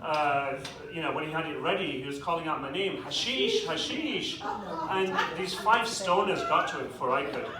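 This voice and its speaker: A young man with a British accent doing a stand-up comedy set in a club with a live audience, delivering dry, self-deprecating punchlines with quick asides. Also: fairly high pitch, sing-song, over a noisy crowd. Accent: British English. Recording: echoey club, distant mic, audience noise. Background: audience laughter.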